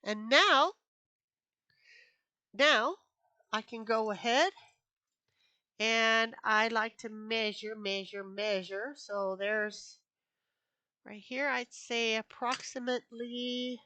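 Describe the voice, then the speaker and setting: Woman craft host, high and bright, unhurried, a little stuffed up from allergies; dry close sound. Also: no echo. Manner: chatty, repeats herself, many fillers. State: sniffly from allergies. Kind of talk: casual crafting tutorial. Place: home craft room.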